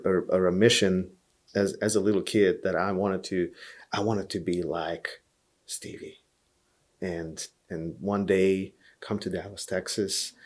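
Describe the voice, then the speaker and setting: A man from Hungary with a Hungarian accent, telling his story as a podcast guest in an earnest way, often repeating words as he thinks. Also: sits low. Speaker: podcast guest, blues musician. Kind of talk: relaxed interview storytelling.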